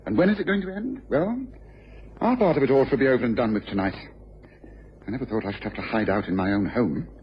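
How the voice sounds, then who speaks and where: An actor playing a shrewd, self-assured English gentleman detective in an old-time radio drama. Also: mid-range voice, lively intonation, quick pace, close to an everyday voice. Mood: brisk, resolute. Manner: articulate, authoritative, self-assured. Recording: dull, hissy old radio recording.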